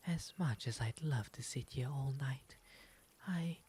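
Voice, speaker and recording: A man voicing a gentle, refined owl demon in an ASMR roleplay, with soft, breathy, soothing, formally polite speech. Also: mid-range voice. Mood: fond, courteous. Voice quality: soft, breathy, often whispery. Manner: soothing, genteel, unhurried formal phrasing. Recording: noticeable ambient background noise.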